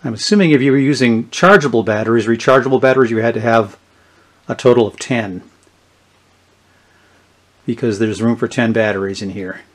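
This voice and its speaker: A middle-aged man gives a casual, unscripted product demonstration, haltingly thinking aloud as he goes. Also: mid-range voice. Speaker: presenter of a vintage electronics channel. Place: indoors, small tabletop product-shooting setup.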